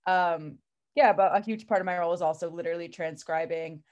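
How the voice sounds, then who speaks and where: A woman's voice: fairly low pitch, fairly quick, darkish tone. A woman from the Chicago area introducing herself as a project's creative consultant, chatty and laughing with many fillers. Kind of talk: casual group introduction.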